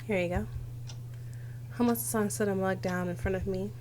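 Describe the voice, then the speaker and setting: A woman's voice: medium pitch, fairly even intonation, moderate pace, darkish tone, a little hiss or hum. A young woman streamer reading visual novel text aloud dramatically, with casual asides. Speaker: game streamer.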